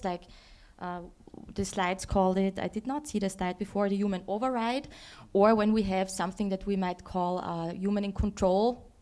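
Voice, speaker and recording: Woman, halting thoughtful panelist. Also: medium pitch, a little mellow. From Austria. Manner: thoughtful, self-correcting, pauses to search for words. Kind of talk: conference panel discussion. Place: conference stage.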